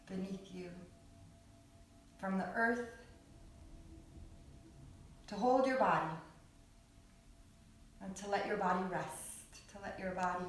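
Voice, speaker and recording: A woman guiding a yoga nidra meditation in a soothing, unhurried way, with long pauses between short phrases. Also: mid-range voice. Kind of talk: guided meditation. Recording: echoey, distant mic with background music.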